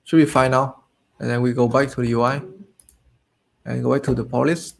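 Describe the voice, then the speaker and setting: A man whose first language is Vietnamese, casually talking through his code as he writes it, muttering to himself with lots of fillers, his voice worn low from talking. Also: level tone, brisk, creaky. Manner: thinks aloud, muttering to himself with frequent fillers. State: voice getting low and tired. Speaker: coding tutorial host. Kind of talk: casual live coding walkthrough.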